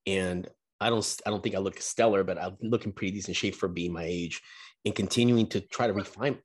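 A man in his forties hosting a casual interview, encouraging and warm toward his guest, often repeating words as he talks. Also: deep voice, fairly even intonation, brisk, slightly rough. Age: 40s. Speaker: podcast host.

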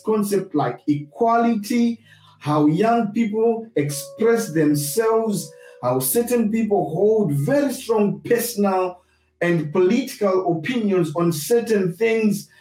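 A man from Zimbabwe with a Zimbabwean accent gives a reflective, sermon-like talk, deliberately restating his points for emphasis. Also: high-pitched, a little mellow. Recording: roomy echo, distant mic, music behind.

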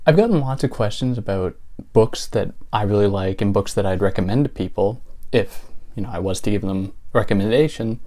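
A young man with a soothing, low-pitched voice talks through his favorite books in a relaxed, casual way. He pauses often, restarts his sentences and fills gaps with "uh" and "you know". The feel is an easygoing solo video chat. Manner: relaxed, thoughtful, many fillers and restarts. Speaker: book channel host. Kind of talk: casual vlog talk.

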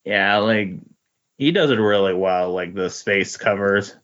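Lowish-voiced man in halting casual chat. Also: fairly bright tone. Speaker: podcast guest. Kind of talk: casual chat about comic books. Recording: dull, lo-fi recording.

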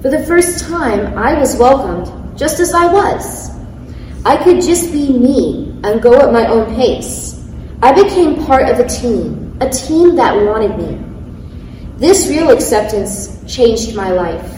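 A woman in her thirties reads prepared remarks to a crowd, eager and a little self-conscious. Her voice sits slightly high. The sound comes from a large, reverberant hall with faint ambience. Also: lively intonation, slow, a little mellow, a little hiss or hum. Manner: eager, apologetic, laughs at herself. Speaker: panelist, athlete speaker. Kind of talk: reading prepared remarks on a panel. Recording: echoey hall, mic at a distance.

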